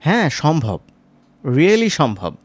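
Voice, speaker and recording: A man teaching as a software instructor, informal and step by step, often checking in with short tag questions. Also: fairly high pitch, huge pitch swings, takes it slowly, dry recording. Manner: conversational, seeking agreement with short tag questions. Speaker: animation tutorial instructor.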